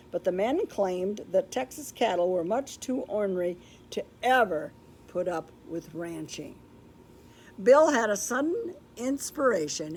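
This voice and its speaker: An older woman reading a children's story aloud in a deliberate, expressive way, with explanatory asides. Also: medium pitch, a little hiss or hum. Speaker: storybook reader. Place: indoor room with books, like a classroom or library.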